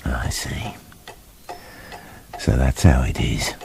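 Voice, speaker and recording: An actor voicing a squeamish, sarcastic big bad wolf in a comic radio play, husky and forever complaining. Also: male voice, extremely low pitch, very animated, slow pace, darkish tone, slight background noise, full character voice. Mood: suspicious. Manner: sardonic, put-upon complaining.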